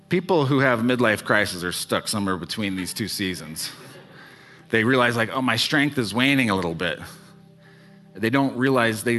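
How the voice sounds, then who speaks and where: A man in his early forties delivers a church sermon with a low-pitched voice. He preaches in a conversational way, restarting phrases and checking in with the listeners often. Soft music plays faintly underneath. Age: 40s. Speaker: pastor. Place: church sanctuary.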